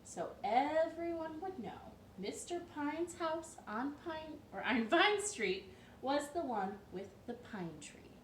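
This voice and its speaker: A woman hosting a children's storytime from her living room, telling the story in a playful, expressive and emphatic way. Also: high-pitched, slow. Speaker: storytime host. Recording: roomy echo, distant mic, light noise.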